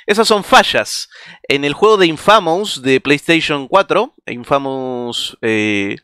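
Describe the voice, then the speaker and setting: A man's voice: on the high side, animated, slow, bright, forceful tone, smooth, dry recording, clean studio sound. A man with an Argentine accent chatting and laughing through a casual game commentary, rambling in asides. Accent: Argentine Spanish. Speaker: gaming streamer. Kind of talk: casual let's-play commentary.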